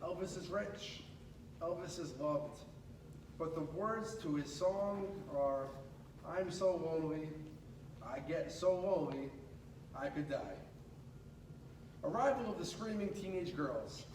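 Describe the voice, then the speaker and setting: A high-voiced man reads a storybook aloud with dramatic pauses and drawn-out emphasis. He is some distance from the mic in an echoey room, with clear noise behind him. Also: lively intonation. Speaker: radio host reading a storybook aloud. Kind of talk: animated read-aloud of a children's picture book.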